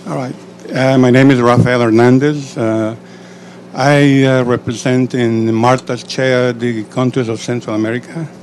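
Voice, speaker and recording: A middle-aged man from El Salvador speaks from the floor at a panel, measured and emphatic with frequent fillers. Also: mid-range voice, little pitch movement, darkish tone, a little hiss or hum. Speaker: audience member, executive director representing Central America. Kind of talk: panel discussion question from the floor. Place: conference room.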